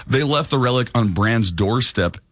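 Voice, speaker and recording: A man's voice: medium pitch, expressive, moderate pace, no echo. A male show host reading news stories aloud and chatting about them in a studio. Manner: reads news stories then banters. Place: radio studio.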